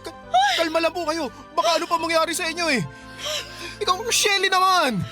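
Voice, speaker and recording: A man's voice: extremely high pitch, steady tone, a little fast, bright, forceful tone, faint music behind, full character voice. A man playing a hesitant, pleading Filipino husband, a sheepish mama's boy, in a radio drama. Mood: anxious, pleading.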